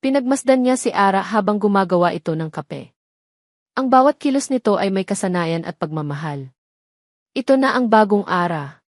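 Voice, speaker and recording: A woman's voice: medium pitch, lively intonation, moderate pace, no room sound. Woman narrating a romance, close dry sound. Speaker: storyteller. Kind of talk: scripted dramatic audio story narration.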